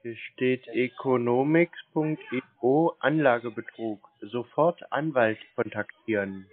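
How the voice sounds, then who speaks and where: Husky man on a narrow phone line. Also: medium pitch, measured pace, no echo. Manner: curt, minimal answers. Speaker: called customer. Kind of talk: terse phone conversation. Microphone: telephone.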